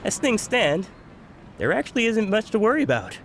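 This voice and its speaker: A man's voice: high voice, very animated, moderate pace, fairly bright tone, a little hiss or hum, exaggerated voice. A man playing a kindly, steadfast townsman with a reassuring, earnest manner in an English anime game dub.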